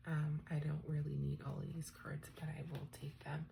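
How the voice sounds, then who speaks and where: A woman with a stuffed-up cold, doing a tarot reading in a soft, often half-whispered, musing way. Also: deep voice, completely flat delivery, moderate pace, gravelly, heavy background noise. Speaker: tarot reader. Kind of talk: unscripted tarot reading for viewers.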